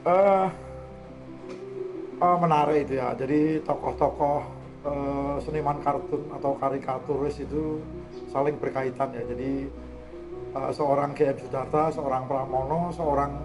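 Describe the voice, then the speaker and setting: A man speaking as a guest in a conversational discussion, explaining things with frequent hesitant pauses. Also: mid-range voice, steady tone, over music, low-quality recording. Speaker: guest speaker on cartoon art.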